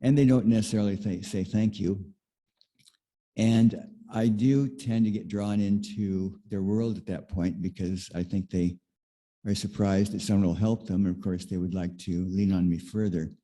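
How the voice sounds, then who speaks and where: A man sharing a reflective personal anecdote in a group discussion, in a measured, plainspoken way. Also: on the low side, steady tone, dark tone. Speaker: congregation member sharing in church discussion.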